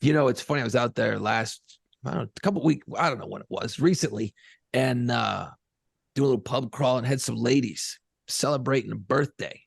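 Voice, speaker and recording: Gravelly, profane middle-aged storyteller. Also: male voice, medium pitch, a little fast, dry recording, studio quality. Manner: rambling storyteller, profane, laid-back. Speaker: podcast co-host and pirate tour guide. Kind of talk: casual podcast chat.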